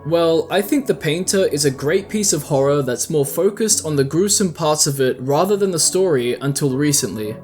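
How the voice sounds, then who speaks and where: Higher-pitched man narrating a video essay. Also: expressive, moderate pace, hardly any pauses, darkish tone. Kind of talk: scripted video essay commentary with casual asides. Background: background music.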